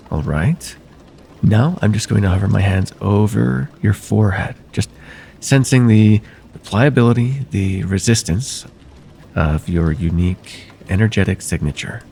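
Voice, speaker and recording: A man playing a courteous nocturnal vampire therapist in an ASMR roleplay, speaking formally and gently to the listener in a soothing, slightly hesitant way. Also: on the low side, vocal fry, slight background noise. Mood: hushed, hypnotic.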